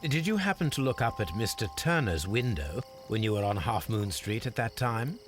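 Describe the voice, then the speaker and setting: An actor playing a shrewd, composed Victorian gentleman detective with an English accent, formal and measured, in a video game drama. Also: fairly low pitch, sing-song, soft background music. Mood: inquiring, courteous.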